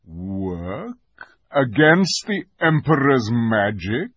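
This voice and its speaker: A man voicing a majestic lion ruler in an audiobook, solemn, measured and authoritative. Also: medium pitch, sing-song, fairly bright tone, slightly rough, lo-fi sound, exaggerated voice. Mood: disapproving. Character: grave, majestic male lion, calm ruler. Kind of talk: audiobook character voice.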